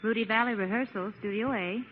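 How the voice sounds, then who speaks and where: A woman's voice: mid-range voice, fairly even intonation, a little fast, narrow, tinny audio, over music, natural voice. A woman playing an efficient, businesslike studio secretary in a 1940s radio sketch. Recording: noisy band-limited 1940s radio recording, distant.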